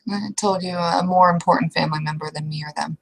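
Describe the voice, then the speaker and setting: A woman speaking casually as a player in a roleplay session, hesitant and halting, often trailing off. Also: fairly low pitch, steady tone, gravelly, a little hiss or hum, rough recording. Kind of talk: casual in-character roleplay talk.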